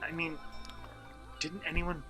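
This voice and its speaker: Man as incredulous listener, radio play, sharp tone, hesitant questioning, earnest, soft music behind. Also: on the high side, level tone, takes it slowly. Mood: incredulous, unsettled. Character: earnest, disbelieving listener questioning an old townsman. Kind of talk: dramatic radio play dialogue.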